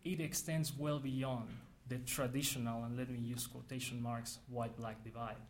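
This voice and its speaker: A man from Mexico, giving an academic lecture in an earnest, measured way with frequent restarts. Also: medium pitch, fairly even intonation, slight background noise.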